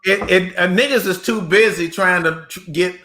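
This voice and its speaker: A man's voice: high voice, moderate pace, pushed and bright. A man co-hosting a talk show, chatting casually in a blunt, colloquial way. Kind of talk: casual chat.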